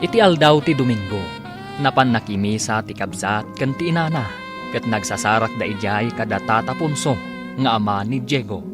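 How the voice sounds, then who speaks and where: A man's voice: on the low side, moderate pace. A man narrating and announcing a radio drama serial in a scripted, dramatic style. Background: music under the voice.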